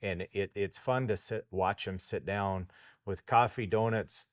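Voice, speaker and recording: A man's voice: fairly low pitch, steady tone, unhurried, no echo, clean studio sound. A man hosting a farm talk show, chatting in a plainspoken, folksy way. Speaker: host. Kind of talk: casual radio talk.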